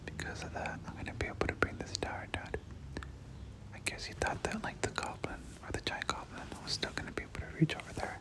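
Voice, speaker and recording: A person doing whispered ASMR game commentary, speaking casually in gamer slang and often repeating short phrases. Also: fast talker. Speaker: gameplay commentator.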